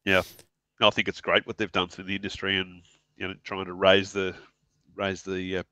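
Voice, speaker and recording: A man in his forties with a New Zealand accent, a guest speaking in a relaxed, halting way over a headset on a video call. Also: low-pitched, no echo. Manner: relaxed, halting, many fillers. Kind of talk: casual video-call interview. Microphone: headset mic on a video call.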